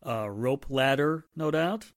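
A man's voice: medium pitch, slow pace, dry recording, studio quality, close to an everyday voice. A man playing a wry, self-assured police inspector in a 1940s style radio drama, breezy and sardonic.

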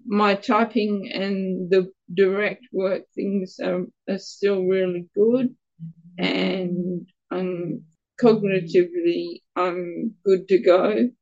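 A woman, a guest on an interview, speaking in a quiet, gravelly voice and stumbling a little over her words. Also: mid-range voice, fairly even intonation, slow, highs cut off. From Australia. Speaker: guest with Parkinson's. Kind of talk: casual interview answers.

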